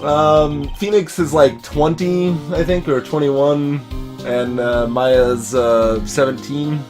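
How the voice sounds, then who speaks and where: A man's voice: fairly high pitch, fairly even intonation, slow pace. A man hosts a video game let's play with casual commentary. He sounds hesitant, thinking aloud, while music plays in the background.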